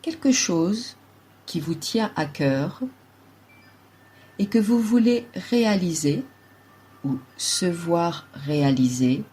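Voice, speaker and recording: Female yoga nidra guide. Low, soft-edged husky voice, unhurried calm delivery of measured meditation instructions. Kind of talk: slow guided relaxation meditation.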